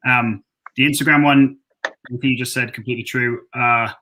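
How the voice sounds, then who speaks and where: A man's voice: medium pitch, steady tone, normal speed, sharp tone, poor audio. A man giving explanatory talk as a company representative in a webinar.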